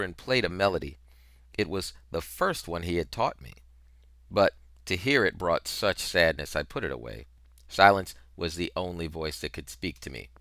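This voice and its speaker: A middle-aged man narrating a novel in an expressive, dramatic style, with emphatic, deliberate phrasing on a headset mic. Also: deep voice, dry recording, clean studio sound. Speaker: reader of a children's novel.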